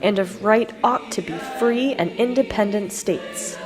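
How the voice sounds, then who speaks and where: A woman's voice: medium pitch, measured pace. A woman reading a historical document aloud as a community reader. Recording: music behind the voice.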